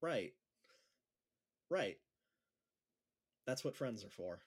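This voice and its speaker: A man's voice: medium pitch, big pitch swings, very slow, breathy edge, heavily acted. A man playing an awkward, self-deprecating schoolteacher in a naturalistic audio drama, with a hesitant manner. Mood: awkward, hopeful. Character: awkward, self-deprecating male schoolteacher trying stand-up comedy.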